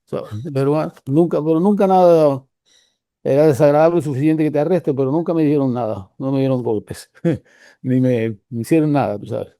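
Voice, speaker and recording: An older Cuban man speaks in a mellow, slightly high voice, his Cuban Spanish accent clear. He rambles through the story with frequent restarts and pauses. The sound is dry and close. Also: dry recording. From Cuba. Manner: rambling, halting with many restarts. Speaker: guest researcher. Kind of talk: casual conversational storytelling.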